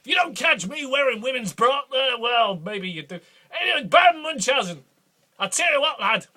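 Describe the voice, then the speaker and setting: Brief: a man voicing a boastful duke, husky, high and swooping, full of bluster. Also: sing-song, normal speed, bright, forceful tone, heavily acted. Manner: rambling, bombastic, boastful. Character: boastful blustering male Scottish duke, belligerent. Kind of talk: improvised comic character monologue.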